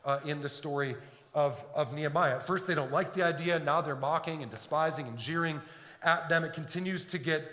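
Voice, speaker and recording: Earnest pastor preaching in an echoey hall. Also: male voice, fairly high pitch, fairly quick, bright, forceful tone. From Detroit. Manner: earnest, repeats words when building a point. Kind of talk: sermon. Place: church sanctuary. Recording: echoey hall with long reverb.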